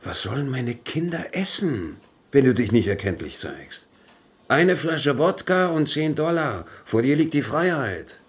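An actor playing a corrupt, self-pitying official who muses and wheedles in a German radio drama. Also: male voice, medium pitch, big pitch swings. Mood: wheedling. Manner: musing, slow, wheedling.

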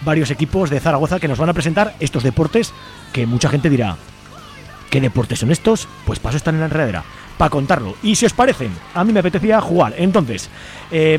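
A man's voice: on the high side, expressive, brisk, faint music behind. A man with an accent from Spain presenting a radio show in an informal, colloquial, playful style. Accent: Spanish from Spain. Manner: colloquial, jokey.

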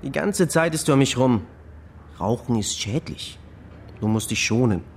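A man's voice: medium pitch, animated, moderate pace, a little hiss or hum, close to an everyday voice. An actor playing a grumbling, fed-up and jittery man in a German radio crime drama. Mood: exasperated.

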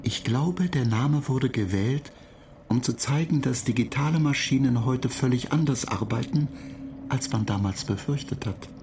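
An actor playing a courteous onboard computer in a radio drama, explaining in a measured, matter-of-fact way. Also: male voice, mid-range voice, vocal fry, darkish tone, distant mic, a little hiss or hum, close to an everyday voice.

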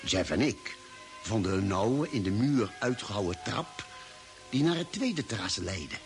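An actor playing an expedition doctor narrates a space voyage in a measured, formal storytelling manner for a radio drama. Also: male voice, lowish voice, expressive, faint music behind. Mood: grave, measured. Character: male expedition doctor recounting a Mars voyage.

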